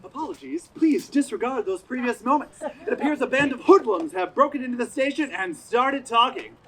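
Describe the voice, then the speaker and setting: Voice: sits high, lively intonation, a little fast, fairly bright tone. An actor playing a pompous, tyrannical radio playwright in a stage comedy, declaiming in a grandiose, imperious way. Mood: solemn announcing. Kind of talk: community theater stage comedy acting. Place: outdoor stage in a parking lot.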